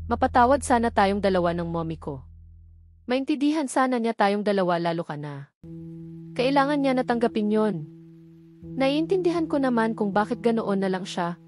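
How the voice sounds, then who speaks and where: Filipino lady narrating a romance audiobook, medium pitch, music playing under her the whole time. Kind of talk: scripted story narration of a romance novel, with dialogue read out. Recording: music bed behind the voice.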